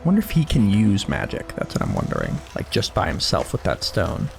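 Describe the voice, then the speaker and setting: A man hosting a reaction video, thinking aloud excitedly as he comments. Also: lowish voice, fairly quick, gravelly, over music.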